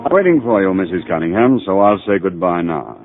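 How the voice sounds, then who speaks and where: An actor playing a calm, kindly psychiatrist in a 1940s radio drama, with a measured and reassuring delivery. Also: male voice, low-pitched. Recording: tinny 1940s radio broadcast, faint music.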